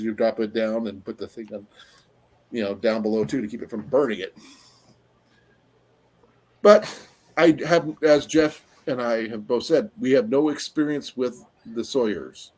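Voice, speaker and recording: A man hosting a casual live-stream chat, his voice going a little raspy, rambling along with fillers as he looks things up. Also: mid-range voice, darkish tone. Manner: rambling, trails off with fillers while looking things up. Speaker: livestream host.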